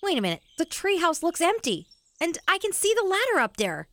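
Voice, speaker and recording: A woman's voice: very high voice, wildly swooping pitch, brisk, fairly bright tone, no room sound, heavily acted. A woman playing a practical, sceptical young boy in a family audio drama.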